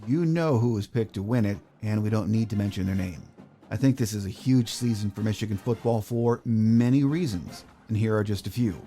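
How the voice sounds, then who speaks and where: A man's voice: medium pitch, dark tone. An American man hosting a sports podcast, delivering scripted introductions and interview questions.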